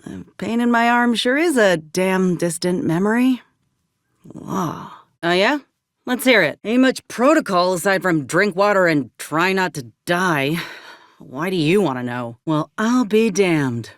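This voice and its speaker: A woman's voice: on the low side, animated, measured pace, no room sound. A woman playing a tough, weathered soldier in a video game, with a folksy, dry, plainspoken manner. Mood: pained, irritable, then bemused. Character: tough, wry, weathered female soldier companion in space. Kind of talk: video game character dialogue.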